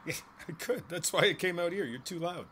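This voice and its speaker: A man playing an easygoing, friendly older neighbor in a conversational ASMR roleplay, speaking thoughtfully with hesitant pauses. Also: sits high, noisy background, lightly acted. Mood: cheerful, teasing. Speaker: voice actor in an audio roleplay. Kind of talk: ASMR roleplay, one side of a casual conversation. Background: outdoor ambience with birds.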